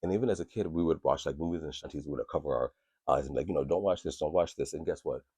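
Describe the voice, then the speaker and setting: A man with an extremely low, deep voice that carries a husky, grainy edge. He talks frankly into a podcast microphone, rambling and restarting, and swears freely. The sound is close and dry, with no room around it. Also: fast, mellow, dry recording. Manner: candid, profane and rambling, with many restarts. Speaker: podcast host. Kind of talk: casual podcast talk.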